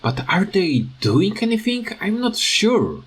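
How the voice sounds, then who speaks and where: A man giving casual, unscripted commentary on a video game, hesitant and halting as he searches for words and often stammers. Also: high-pitched, very animated, breathy edge. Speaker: gaming video creator.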